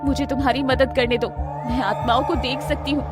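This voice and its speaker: A woman's voice: high voice, little pitch movement, a little fast, over loud music, lightly acted. A woman playing a determined young investigator who talks to spirits, firm and direct, in a cartoon. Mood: earnest, pleading. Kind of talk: dramatic cartoon dialogue.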